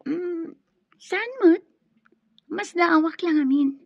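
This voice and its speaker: A woman's voice: extremely high pitch, takes it slowly, creaky, a little mellow, slight background noise, full character voice. A woman acting a lovestruck, wistful young student in an Ilocano radio drama, with emotive, hesitant delivery. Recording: dull lo-fi sound, roomy echo.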